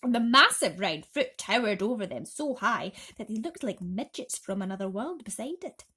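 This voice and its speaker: A woman's voice: mid-range voice, lively intonation, moderate pace, pushed and bright, husky. A woman reading a children's bedtime story expressively, with dramatic emphasis, as a host talking to young listeners.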